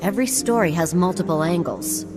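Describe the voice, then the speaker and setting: A woman voicing a scholarly, wry explorer in a video game, speaking in a measured, articulate way. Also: lowish voice, lightly acted. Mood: reflective. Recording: game music underneath.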